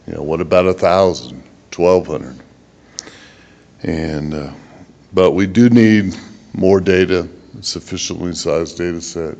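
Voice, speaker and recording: A man presenting research at a conference in a halting, plain-spoken way. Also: low-pitched, darkish tone, muffled recording. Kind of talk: conference presentation, then answering questions.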